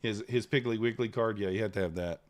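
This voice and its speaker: A man's voice: on the low side, quick pace. A man, hosting a live trading stream in casual chat, rambling and replying to chat. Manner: relaxed, rambling, reads chat aloud. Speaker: livestream trader host.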